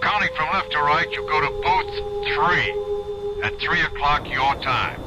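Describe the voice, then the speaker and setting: An actor playing a terse, cautious American intelligence chief with an American accent in old-time radio drama. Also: male voice, on the high side, expressive, unhurried, loud music behind the voice. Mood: urgent, instructing. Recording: noisy vintage radio recording.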